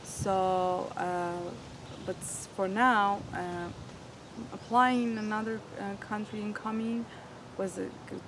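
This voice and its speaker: A woman's voice: mid-range voice, steady tone, slow pace. Earnest young woman, traffic behind. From Iran. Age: 20s. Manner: earnest, searching for words in a second language. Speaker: interviewee. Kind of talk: personal storytelling.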